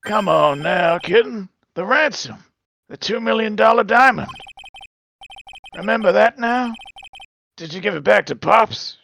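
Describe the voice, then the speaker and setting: A man voicing a sly, hard-boiled lawyer in a game dub, needling and deliberate as he questions. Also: high-pitched, animated, gravelly, a bit bright, slight background noise, full character voice. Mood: sly, probing. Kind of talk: live fan dub of a visual novel game.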